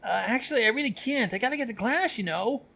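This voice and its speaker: Man putting on a high, jumpy schoolgirl creature. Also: huge pitch swings, moderate pace, a little mellow, a little hiss or hum. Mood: nervous. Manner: stammering, nervous, blurting. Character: jumpy, flustered young female student creature with hooves.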